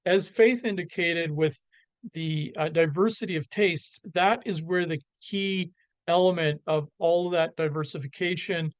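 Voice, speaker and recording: A man with a Canadian accent giving a slide presentation, halting with many fillers and restarts. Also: on the high side, dry recording.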